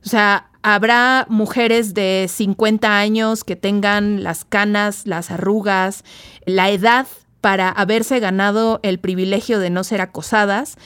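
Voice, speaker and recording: A woman's voice: mid-range voice, fairly even intonation, unhurried, fairly bright tone, clean tone, no echo, studio quality. A Mexican woman hosting a feminist talk show, thoughtful and frank, with some swearing. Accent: Mexican Spanish. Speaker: host. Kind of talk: feminist discussion podcast.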